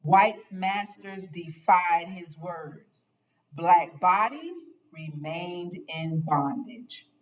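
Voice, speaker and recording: Slow-reading woman storyteller, slightly distant. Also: on the low side, animated. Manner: dramatic, deliberate phrasing. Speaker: storyteller reading a picture book aloud. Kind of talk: expressive children's read-aloud narration.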